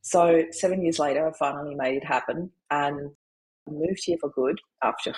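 A woman in her forties with an Austrian accent, a grief coach telling her life story as a podcast guest, animated and laughing often. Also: low voice, moderate pace, husky, rough recording. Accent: Austrian German. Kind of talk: conversational interview storytelling.

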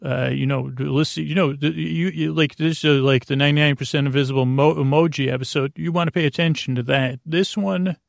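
A man with a gravelly voice, hosting a bedtime podcast in a halting, meandering monologue that repeats itself and trails off. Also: fairly high pitch, steady tone, no room sound. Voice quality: gravelly, creaky. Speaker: podcast host.

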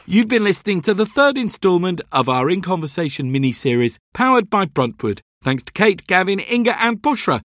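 A man's voice: high voice, big pitch swings, fairly quick, hardly any pauses, a bit bright, dry recording, studio quality. A man reading a scripted podcast outro in an upbeat, announcing manner. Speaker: podcast host.

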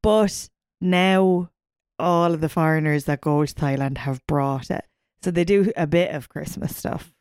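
A woman's voice: low voice, unhurried, a little mellow, clear, resonant tone, dry recording, clean studio sound. A woman with an Irish accent co-hosting a podcast in casual chat over drinks, playful, giggly and sweary. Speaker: podcast co-host.

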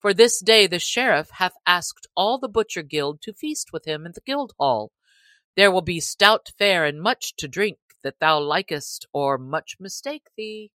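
A woman puts on a hearty guildsman, chief among the butchers. He is friendly and sociable, with old-fashioned storybook phrasing and a measured delivery. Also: fairly low pitch, lively intonation, fairly bright tone, dry recording. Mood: welcoming. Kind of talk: storybook character voice.

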